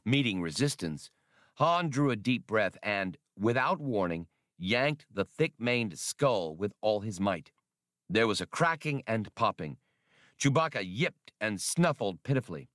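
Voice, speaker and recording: Low, dry-recorded male audiobook narrator. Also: sing-song, measured pace, fairly bright tone, no room sound. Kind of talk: scripted narration of an adventure novel.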